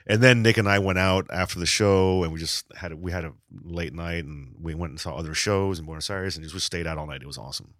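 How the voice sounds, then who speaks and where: A man's voice: deep voice, fast, few pauses, fairly bright tone, no echo, studio quality. A middle-aged man from Argentina, a touring musician, chatting casually as a podcast guest, swearing freely and restarting his sentences. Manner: laid-back, swears freely, rambling restarts.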